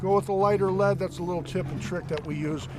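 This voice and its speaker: A man acting as a fishing guide on a boat, chatty and energetic, explaining tips step by step. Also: high voice, fast. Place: on a boat offshore, outdoors.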